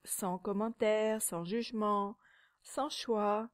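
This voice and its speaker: A woman teaching yoga nidra, guiding a meditation in a calm, measured, soothing way, with a soft, sometimes breathy voice and pauses between short phrases. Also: medium pitch. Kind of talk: guided meditation.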